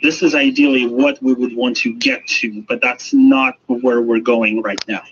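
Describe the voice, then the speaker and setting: A man's voice: medium pitch, big pitch swings, a little slow, creaky, husky. A man giving emphatic explanations in an interview as an expert, often repeating phrases for emphasis. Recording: muffled, noisy radio-like sound.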